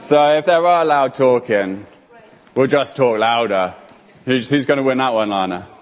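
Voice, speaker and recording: A man's voice: mid-range voice, normal speed. A man preaching an interactive sermon on a handheld mic, playful and calling people up by name. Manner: playful, animated, calls on people. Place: church hall. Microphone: handheld microphone. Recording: hall reverb.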